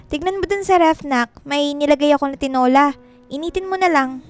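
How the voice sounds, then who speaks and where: A woman's voice: sits high, fairly quick, lightly acted. An actress playing a clingy, fussing middle-aged mother in a Filipino radio drama, motherly and full of instructions.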